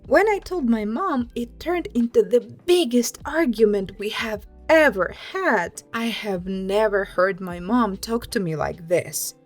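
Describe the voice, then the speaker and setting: A woman narrating written personal stories aloud as a host, in a scripted storytelling style. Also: a little high.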